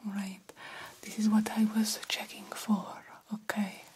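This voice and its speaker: A woman in an ASMR roleplay voicing a formal, reassuring, slightly vain female vampire doctor in a gentle, breathy whisper. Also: medium pitch, slight background noise, close to an everyday voice, low-quality recording. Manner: slow, formal, measured, gently encouraging.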